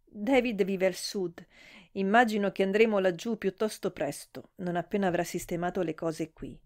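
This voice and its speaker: A woman's voice: mid-range voice, normal speed, a little mellow, lightly acted. A woman voicing an uneasy young woman who gives short, evasive replies.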